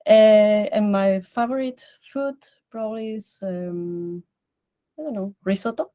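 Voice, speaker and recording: A woman from Barcelona, introducing herself in a casual online chat, hesitant and halting. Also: medium pitch, narrow, tinny audio. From Spain, Barcelona. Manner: hesitant, halting, laughs often. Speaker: participant.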